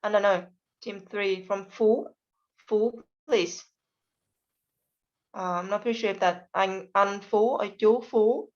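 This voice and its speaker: A woman's voice: mid-range voice, steady tone, takes it slowly, pauses often. A woman teaching an online class, politely calling on students to speak, with many fillers. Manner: patient, polite, hesitant with fillers. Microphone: video call. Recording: dull lo-fi video call audio.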